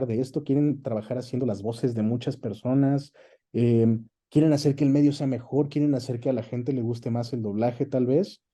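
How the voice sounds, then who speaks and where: A Mexican man with a very dark, mellow voice at a medium pitch. He explains things casually as an interview guest, often tagging his points with a quick check for agreement. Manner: explanatory, checks in with tag questions. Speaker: interview guest, voice actor and dubbing director.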